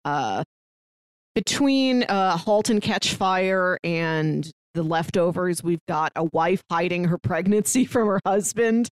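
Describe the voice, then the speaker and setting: A woman's voice: on the low side, animated, takes it slowly, clean tone, no echo. A woman co-hosting a podcast, talking casually and chattily as she reviews stories. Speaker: podcast co-host.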